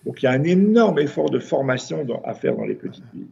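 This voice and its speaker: A man's voice: a little high, lively intonation, creaky, dark tone, breathy edge, dull audio. A man from France, a city councillor, earnestly explaining a report as a discussion guest. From France, Avignon. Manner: earnest, measured, with frequent restarts.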